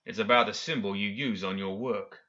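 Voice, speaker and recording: A man's voice: on the low side, moderate pace, sharp tone, lo-fi sound. A man voicing a stern, frank and defiant man in an audiobook, terse and guarded in delivery. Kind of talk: audiobook character acting.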